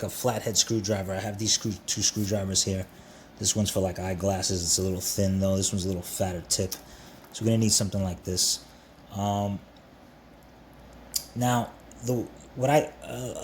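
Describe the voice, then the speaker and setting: Man, lowish pitch, noisy background, informal how-to narration, repetitive step-by-step explaining, casual tutorial host. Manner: informal, repeats himself, talks viewer through steps. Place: indoors at a workbench. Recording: steady background noise.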